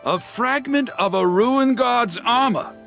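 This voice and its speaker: An actor playing a dramatic street storyteller in a game, telling heroic tales grandly with suspenseful pauses. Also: male voice, very high voice, gravelly, fairly bright tone, exaggerated voice. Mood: boastful. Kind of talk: theatrical storyteller narration. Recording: background music under voice.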